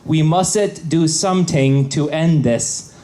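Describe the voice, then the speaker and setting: A man reading a story aloud carefully and haltingly on a live convention panel. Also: fairly high pitch, warm tone. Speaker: panel reader.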